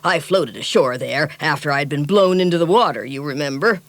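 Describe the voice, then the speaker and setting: A woman reads the part of a jolly, boastful, stout old sea captain in a storybook, booming and hearty with a lower, louder voice. The sound is dry and close. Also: moderate pace, no room sound. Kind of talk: storybook reading.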